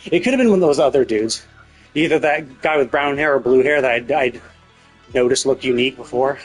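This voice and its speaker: A man streaming a game, casually rambling and thinking out loud as he commentates. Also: mid-range voice, brisk, slightly rough, soft background music, low-quality recording. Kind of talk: casual live stream commentary, speculating aloud.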